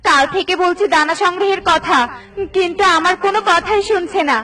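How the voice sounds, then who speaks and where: A woman's voice: extremely high pitch, fairly even intonation, brisk, sharp tone, recorded from a distance, pitched above the performer's own voice. A woman voicing a bossy, hot-tempered bird wife in a children's cartoon, nagging shrilly and indignantly. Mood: exasperated. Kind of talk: Bengali children's cartoon dialogue.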